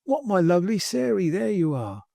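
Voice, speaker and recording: An overexcited little barber, as a man reading aloud puts him on, gabbles high and fast, laughing and crying at once. The tone stays mellow, recorded dry and close. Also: creaky, no echo, exaggerated voice. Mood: excited. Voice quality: excited, half laughing half crying. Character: excitable little male barber, tearful.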